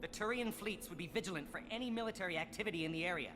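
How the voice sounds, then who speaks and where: A man's voice: high-pitched, rapid-fire, sharp tone, slight background noise. A man voicing a bitter, zealous alien scientist in a video game, articulate and accusatory, a disillusioned former student. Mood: impassioned. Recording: game dialogue over background music.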